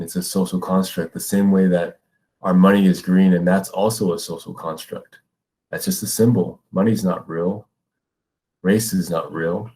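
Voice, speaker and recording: A man's voice: sits low, dark tone, poor audio. A man with an American accent lecturing as a teacher, explaining ideas step by step and checking in with listeners. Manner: explanatory, often checks with "right?". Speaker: middle school ethnic studies teacher. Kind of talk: educational lecture with read-aloud passages.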